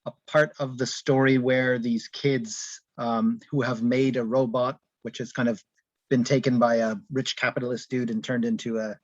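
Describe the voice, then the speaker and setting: A man's voice: medium pitch, little pitch movement, normal speed, no echo. A man casually explaining his book as its author, talking from home on an online call. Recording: dull, lo-fi call audio.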